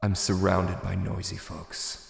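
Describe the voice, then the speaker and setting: Man acting as sullen young anime kidnapper, very low gravelly voice, flat, blunt, weary, guarded. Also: a little slow, no echo, studio quality, full character voice. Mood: sullen, irritated. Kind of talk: anime fan dub acting.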